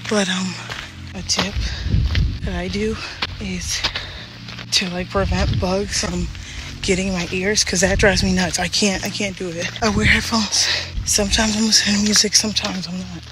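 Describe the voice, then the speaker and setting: Breathy woman vlogging on a hike. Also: mid-range voice, level tone, moderate pace, background noise. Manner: chatty, playful and reflective, talks while walking. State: out of breath from hiking. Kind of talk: casual hiking vlog to camera. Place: outdoor forest trail. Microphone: phone held at arm's length.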